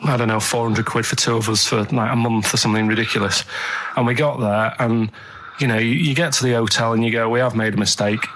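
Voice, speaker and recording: A man's voice: mid-range voice, steady tone, quick pace, few pauses, a bit bright, a little hiss or hum, rough recording. A man chatting casually on a radio show, telling rambling anecdotes in a blunt, plain-spoken, colloquial way. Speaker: radio show regular and producer.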